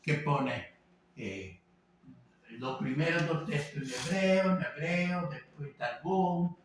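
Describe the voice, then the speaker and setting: A man's voice: on the high side, animated, slow. Rambling male teacher in an echoey room. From Venezuela. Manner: rambling, hesitant, many fillers and tag questions. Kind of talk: informal lecture. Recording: echoey room, voice from a distance, faint background noise.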